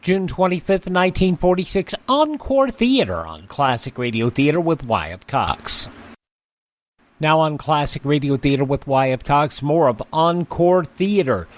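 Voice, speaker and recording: A male radio host giving a slow, deliberate station announcement. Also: on the high side, big pitch swings.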